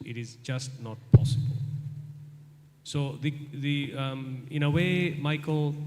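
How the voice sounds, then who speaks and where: A man's voice: medium pitch, warm tone. A middle-aged man from Bangladesh with a Bangladeshi English accent, giving a live guest lecture and answering a student's question, repeating his key points for emphasis. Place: large university hall. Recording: long hall reverb.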